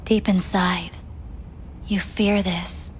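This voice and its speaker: A woman playing a soft, probing, hypnotic pleasure-doll companion in a video game, speaking intimately and often in a whisper. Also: on the low side, flat delivery, very slow, slight background noise.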